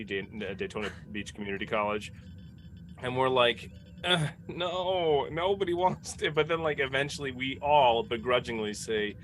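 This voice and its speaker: A man chatting casually as a podcast cast member, telling anecdotes in an animated way. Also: on the low side. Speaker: podcast cast member answering fan questions. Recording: clearly audible background noise.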